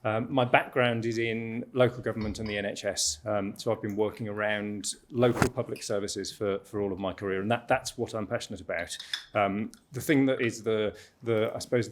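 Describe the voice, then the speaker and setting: A man's voice: fairly low pitch, slight background noise. A man facilitating a panel and presenting a report, with many fillers and restarts.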